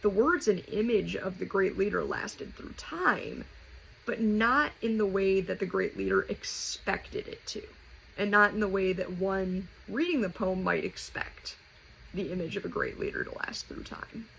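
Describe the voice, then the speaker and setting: A woman's voice: medium pitch, sing-song, a little slow, fairly bright tone. A woman hosting a casual explanatory lesson, talking informally and conversationally as she thinks aloud. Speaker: host of a poetry explainer. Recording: clearly noisy home recording.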